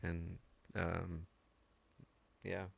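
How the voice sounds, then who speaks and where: A man's voice: deep voice, completely flat delivery, extremely slow, creaky. A man in his forties from Washington State, rambling about his musical past and searching for words. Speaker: guitarist, interview guest. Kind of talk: casual interview chat.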